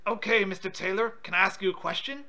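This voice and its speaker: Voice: mid-range voice, fast, pushed and bright. A prank caller on a phone call, confrontational and insistent with questions.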